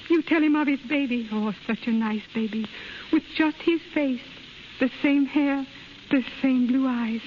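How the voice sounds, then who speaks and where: A scratchy, muffled 1930s radio recording carries a high female voice. a devoted young Japanese wife and mother, lovesick and earnest. Her English is simple and broken, with formal politeness. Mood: pleading. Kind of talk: 1930s radio drama acting.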